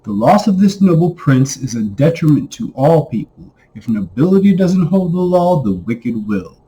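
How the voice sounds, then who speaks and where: A man with a husky voice giving a solemn, declamatory recitation of an old text, phrased deliberately. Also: on the high side, very animated, dark tone, low-quality recording. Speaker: reader.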